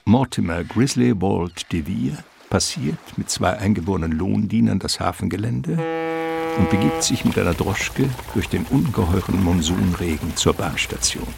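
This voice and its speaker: Deep, gravelly male radio play narrator. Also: expressive, noisy background. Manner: deliberate, suspenseful, literary. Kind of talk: dramatic scripted narration. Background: prominent music.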